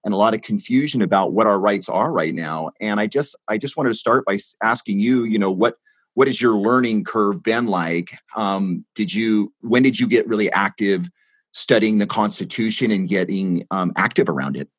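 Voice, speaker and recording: A male interviewer with a low, husky voice asks questions slowly and haltingly, full of fillers and restarts. The sound is dry and close, with no room around it. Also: dry recording.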